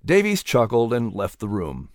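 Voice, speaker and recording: An audiobook narrator tells a children's historical tale with a very animated, swooping delivery. The pitch sits in the middle and the recording is close and dry. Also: moderate pace, no echo.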